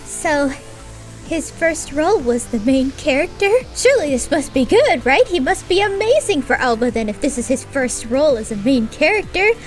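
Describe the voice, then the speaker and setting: Sarcastic high-voiced woman reviewer. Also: big pitch swings, moderate pace, soft background music. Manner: sarcastic, swearing, mock-dramatic. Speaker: anime reviewer. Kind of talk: sarcastic video review commentary.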